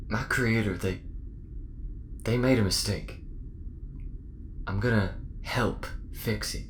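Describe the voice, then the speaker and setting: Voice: mid-range voice, takes it slowly, a little hiss or hum, lightly acted. An actor playing a friendly, earnest, helpful android in a sci-fi audio roleplay, with a folksy, polite manner.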